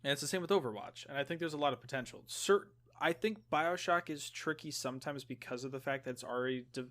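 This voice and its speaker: Man, mid-pitched voice, podcast co-host, casual chat, informal rambling explanations. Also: fast, a bit bright.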